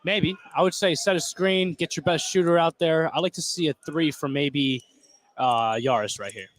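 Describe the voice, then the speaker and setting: Higher-voiced man giving basketball color commentary. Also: moderate pace. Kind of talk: conversational sports analysis. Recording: noisy gym ambience behind. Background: ambient noise of a basketball game.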